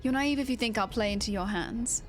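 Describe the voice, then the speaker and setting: A woman's voice: a little high, a little fast, soft background music, close to an everyday voice. A woman voicing a proud, composed male wolf fighter who speaks formally in a video game. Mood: grave, proud.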